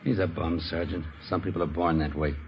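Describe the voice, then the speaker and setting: An actor playing a bitter, guarded ex-convict in a 1950s radio drama, curt and repetitive. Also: male voice, sits low, steady tone, brisk, lightly acted. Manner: curt, repeats himself. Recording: muffled, noisy old radio recording.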